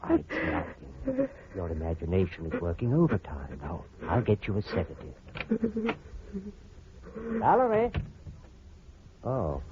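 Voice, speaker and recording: An actor playing a smooth, manipulative doctor in a 1940s radio drama, with a theatrical, coaxing delivery. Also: male voice, lowish voice, huge pitch swings, slow pace, long pauses, heavily acted. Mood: agitated, indignant. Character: smooth, scheming, manipulative male doctor, secretly menacing. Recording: muffled, noisy vintage radio recording.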